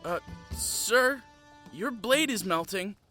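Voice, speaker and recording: Man acting a lively, boyish young recruit. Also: sits high, takes it slowly, heavily acted. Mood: hesitant. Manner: hesitant, boyish, sincere. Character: earnest, unsure young male town guard recruit. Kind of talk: fantasy audio drama acting. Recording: music under the voice.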